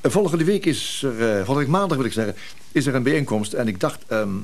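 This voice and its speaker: A man's voice: medium pitch, animated, quick pace, natural voice. An actor playing a formal, deferential male notary in a Dutch radio play, hesitant and repeating himself.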